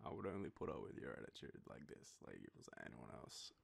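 Deep gravelly Australian boyfriend, acted by a man. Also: exaggerated voice. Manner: hesitant, soft chuckles, halting pauses. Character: affectionate, teasing, nostalgic young boyfriend on a date. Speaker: audio roleplay performer. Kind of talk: intimate boyfriend roleplay, spoken to the listener.

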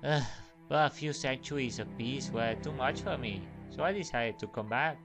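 A man acts out a war goddess for a comic-book cartoon. The delivery is haughty, playful and nonchalant, with a sardonic edge. Soft music runs underneath. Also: mid-range voice, normal speed, natural voice. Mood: haughty, amused.

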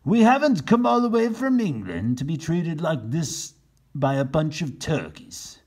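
A man plays a blustering Pilgrim captain from a storybook. He pitches his voice up and draws out his indignant commands loudly, with a husky edge. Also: sing-song, a little slow. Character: blustering male Pilgrim captain, chief turkey hunter. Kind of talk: storybook character voice.

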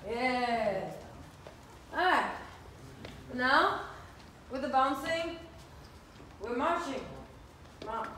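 A woman's voice: high-pitched, expressive, extremely slow, long pauses, pushed and bright, slight background noise. A woman dance instructor calling out steps and counts energetically in short instructions. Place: large indoor dance studio. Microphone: distant phone camera. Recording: echoey studio, far from phone, music.

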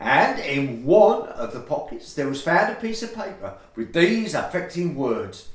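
Casting: male actor for touchy lodger, grand airs, high lively voice, echoey farce audio. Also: a little slow. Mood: melodramatic. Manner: theatrical, grandiloquent. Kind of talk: Victorian farce audio play acting. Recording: echoey room.

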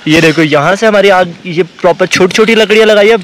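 A young man talks eagerly to his viewers as he camps on an open mountain meadow. His voice sits high for a man, and he calls out to the people around him. It is picked up by an action camera out in the open. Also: fast talker, slight background noise, poor audio. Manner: excited, chatty, calls out to viewers. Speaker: travel vlogger. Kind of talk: casual camping vlog. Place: outdoors on a mountain meadow by a campfire.

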